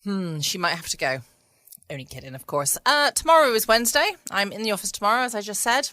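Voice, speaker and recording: A woman's voice: medium pitch, expressive, fast, pushed and bright, dry recording, clean studio sound. A woman hosting a casual bedtime podcast from home. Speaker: podcast host. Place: at home, indoors.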